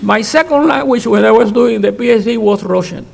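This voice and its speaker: A man's voice: sits high, fairly quick, gravelly, a little mellow. An older man on a conference panel, answering audience questions in a frank, emphatic way and restarting words as he goes. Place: conference hall. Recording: reverberant hall.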